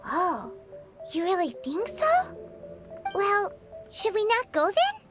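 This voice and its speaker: Game fairy sidekick: tiny, childlike, chirpy, extremely high and lively, music underneath. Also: female voice, slow pace, exaggerated voice. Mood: doubtful, thoughtful. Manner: speaks of self in third person, chirpy. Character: small childlike floating fairy companion, chatty and excitable. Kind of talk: video game character acting.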